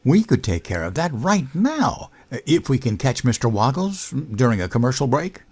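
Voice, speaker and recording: A man's voice: mid-range voice, big pitch swings, normal speed, a little mellow, husky. Man playing a swooping, childlike American president. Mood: fond, sentimental. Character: bumbling, childlike, earnest American president, teddy bear lover. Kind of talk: comedic audio drama acting.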